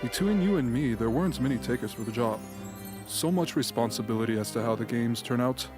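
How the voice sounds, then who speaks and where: A man's voice: mid-range voice, animated, brisk, close to an everyday voice. Man acting a courtly game official, music behind. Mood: genial, sly. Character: smooth, genial, guarded game official, adult man. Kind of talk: amateur radio drama acting.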